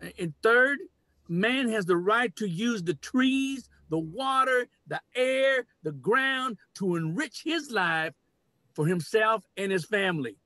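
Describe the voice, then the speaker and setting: Older guy playing a bossy village leader, high voice, dry close sound, play reading, very declamatory. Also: expressive, slow, sharp tone, no echo, full character voice. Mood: commanding. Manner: declamatory, commanding. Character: domineering man who proclaims human rule over animals. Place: cluttered home room, video call. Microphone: computer mic on video call.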